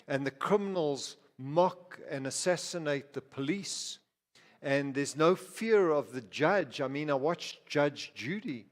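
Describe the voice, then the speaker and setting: A man's voice: a little high, expressive, slow. Guy from zimbabwe preaching in church, chatty sermon, stumbles and restarts a lot, big hall echo. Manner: conversational preaching with frequent restarts and asides. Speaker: pastor. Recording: reverberant hall.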